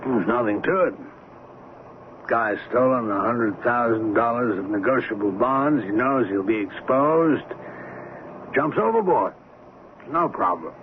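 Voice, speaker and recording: A man's voice: mid-range voice, animated, a little slow, long pauses, natural voice. An actor playing a cool, brazen male schemer with an assured, persuasive manner in a radio drama. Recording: tinny radio broadcast, faint hiss.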